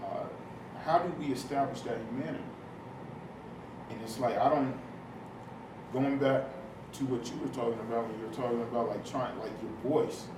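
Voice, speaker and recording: Deep-voiced man, reflective, distant in an echoey room with steady noise. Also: normal speed, long pauses. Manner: reflective, searching phrasing. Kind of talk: personal sharing in a listening circle.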